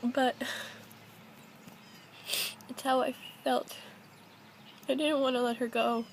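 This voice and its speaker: A young woman shares something personal in a halting, casual way. Her voice is high with plenty of vocal fry, and faint noise sits behind it in a simple home recording. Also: level tone. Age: young adult. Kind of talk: personal confessional vlog, casual talk.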